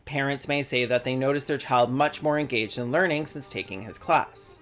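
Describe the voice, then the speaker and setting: A young man talks through a scripted commentary in a medium pitch, mixing earnest argument with profane, sardonic asides. A soft bed of music sits faintly underneath his voice. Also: normal speed. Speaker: video essayist. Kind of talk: scripted commentary with sarcastic jokes.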